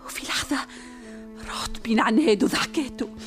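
An actress with a Tunisian accent playing a vizier's young daughter, lovesick and desperate, often speaking in breathy whispers in a radio drama. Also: female voice, a little high, fairly quick, over music, lightly acted. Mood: yearning.

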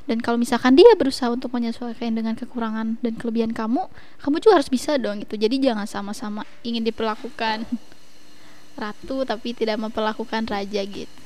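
A woman in her twenties talking as a guest on a casual podcast, relaxed, with hesitant fillers and frequent giggles. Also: on the high side, fast, a little hiss or hum. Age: 20s. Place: coffee shop.

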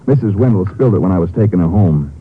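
An actor playing a plain-spoken Texas Ranger partner in a 1950s radio western, with a folksy, informal manner. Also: male voice, deep voice, expressive, fast talker, breathy edge. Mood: earnest. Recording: narrow, muffled vintage radio recording.

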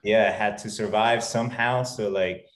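A man from Peru speaks in a notably low voice, telling his story as a podcast guest. He talks loosely and haltingly, full of "uh" and "you know" and restarted phrases. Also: fairly even intonation. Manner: halting, many fillers and restarts. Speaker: guest, fitness trainer. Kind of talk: casual interview talk.

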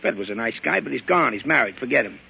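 Gravelly, forceful lovesick henchman. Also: mid-range voice, animated, quick pace, exaggerated voice. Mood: exasperated. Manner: slangy, plain-spoken, pleading. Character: rough-talking lovesick henchman, loyal but exasperated. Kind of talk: 1940s radio crime acting. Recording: muffled, hissy old radio recording.

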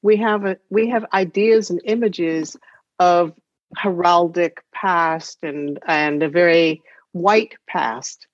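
A woman with a fairly low voice chairs a discussion in a reflective, deliberate manner. The recording is dry and somewhat dull, with little detail. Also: steady tone, no room sound. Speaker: podcast chair. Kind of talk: measured panel discussion.

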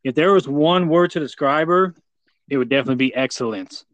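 A man's voice: fairly high pitch, fast, a bit bright, no echo. An American man hosting a casual interview, talking effusively in a rambling way with many repeats. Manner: effusive praise, rambling, repeats "you know". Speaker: podcast host.

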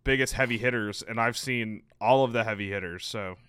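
Man, texas accent, podcast co-host, smooth resonant voice, teasing, opinionated. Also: on the low side, a little fast, fairly bright tone, no room sound, clean studio sound. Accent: Texan. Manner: teasing, opinionated about films. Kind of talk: casual chat.

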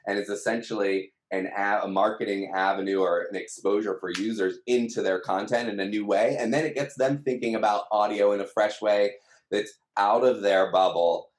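Low-voiced man in an echoey room. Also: steady tone, moderate pace. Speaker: company team member being interviewed. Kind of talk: conversational explanation. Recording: roomy, echoing sound.

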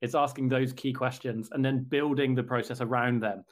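Man, interview guest, measured, thoughtful, mid-range voice, video call. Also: medium pitch, fairly even intonation. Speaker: company representative, guest. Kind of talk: conversational interview answers.

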